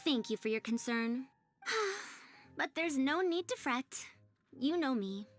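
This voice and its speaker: A woman's voice: on the high side, fairly bright tone. A woman playing a prim, proud but kindly young-sounding researcher in a video game, speaking formally and articulately in wordy, old-fashioned phrases. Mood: warm, composed. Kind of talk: scripted video game character dialogue.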